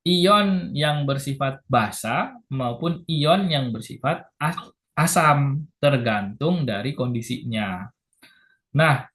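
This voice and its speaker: A man lecturing health workers, slow and patient, repeating key points, with a sore throat that makes him clear his throat. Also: a little high, a bit bright.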